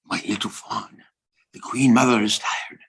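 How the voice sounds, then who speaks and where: A man's voice: on the low side, steady tone, a little slow, vocal fry, fairly bright tone, husky, full character voice. A man playing a doting, self-important king in a period audio drama, speaking in flowery, courtly phrases. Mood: gentle, cautioning. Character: doting, self-important French king, adult, mother-obedient.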